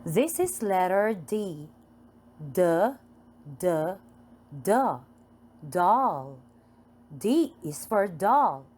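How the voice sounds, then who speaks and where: A woman with a Filipino accent teaching phonics to young children, enunciating letters and words in a deliberate, repetitive, sing-song way. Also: mid-range voice, big pitch swings, a little mellow.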